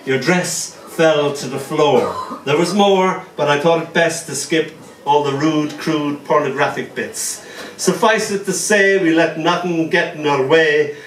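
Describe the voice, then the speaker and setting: Male poet from Ireland, fairly high voice, joking asides and slow tender recitation, recorded from a distance in a roomy cafe. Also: fairly bright tone. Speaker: poet reading at an open mic. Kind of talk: poetry reading with banter. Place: small cafe venue with live audience. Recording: roomy, distant, faint noise.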